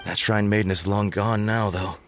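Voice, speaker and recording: A man's voice: on the low side, steady tone, moderate pace, soft background music, lightly acted. A man playing a devout, trusting shrine visitor in a video game, polite and given to exclaiming. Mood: startled, grateful.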